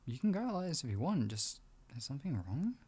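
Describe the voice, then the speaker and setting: A man playing a gentle, doting boyfriend in an improvised ASMR roleplay, coaxing softly and reassuringly in an intimate, husky, gravelly voice. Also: medium pitch, big pitch swings, fast talker. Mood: gentle concern. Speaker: voice actor in audio roleplay.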